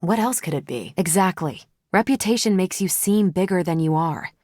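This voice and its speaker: A woman playing a confident, wry and brisk superhero soldier in a video game, with a casual, assertive way of talking. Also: lowish voice, lively intonation, mellow, no echo. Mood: easygoing, dry. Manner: casual, dry teasing, assertive. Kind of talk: video game character dialogue.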